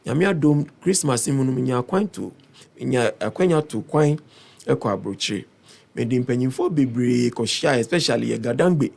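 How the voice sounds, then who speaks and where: A Ghanaian man with a hoarse voice, earnestly explaining his plans as a parliamentary aspirant in an interview. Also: medium pitch, normal speed. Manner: earnest, persuasive, steady explaining. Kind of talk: interview answers mixing Twi and English.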